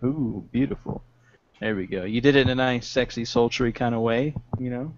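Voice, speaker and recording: A young man co-hosting a casual political podcast from home over a video call, joking and laughing as he talks. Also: mid-range voice. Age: young adult. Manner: joking, laughs often, drawn-out delivery. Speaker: podcast co-host. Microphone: webcam mic on video call. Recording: dull lo-fi video-call audio.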